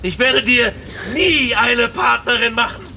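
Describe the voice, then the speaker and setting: A defiant, anguished man of science in a radio play. His voice is high and very sharp, and he delivers his words slowly and with force. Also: gravelly, a little hiss or hum, exaggerated voice. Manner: forceful, deliberate. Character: defiant, anguished male scientist refusing a monster. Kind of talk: radio drama acting.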